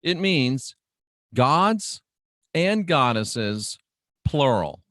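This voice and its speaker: A man delivering a dramatic sermon-like radio monologue as host, speaking emphatically and deliberately, repeating phrases and putting showman-like rhetorical questions to listeners. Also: a little high, a bit bright, dry recording, clean studio sound.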